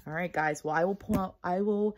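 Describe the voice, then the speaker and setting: A woman's voice: fairly low pitch, a little fast, a little mellow. A woman reading a children's storybook aloud expressively and explaining things to young listeners. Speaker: storybook reader.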